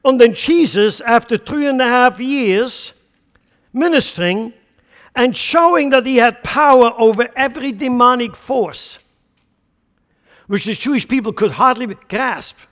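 A man's voice: extremely high pitch, animated, a little slow, fairly bright tone, big-room sound. A man from central Switzerland with a Swiss German accent, preaching a sermon with emphatic rhetorical questions. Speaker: pastor.

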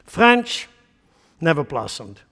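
Swiss german accented pastor, high voice, echoey big hall, asks the crowd questions. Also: male voice, slow pace, vocal fry. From central Switzerland. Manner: animated, emphatic preaching with rhetorical questions. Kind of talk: sermon.

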